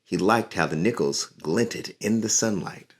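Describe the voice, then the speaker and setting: Deep-voiced man reading a children's book. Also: measured pace, breathy edge. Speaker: community leader and musician reading to children. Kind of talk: children's read-aloud.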